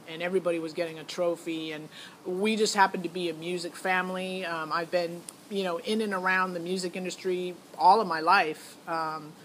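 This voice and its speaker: Chatty middle-aged woman, noisy recording. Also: on the low side. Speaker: parent vlogger. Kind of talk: casual informal personal account to camera.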